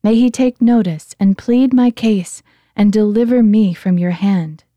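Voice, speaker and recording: A woman's voice: mid-range voice, measured pace, mellow, no room sound, lightly acted. A woman reading the lines of a young male outlaw who earnestly pleads his innocence to a king in a scripture reading. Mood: earnest pleading.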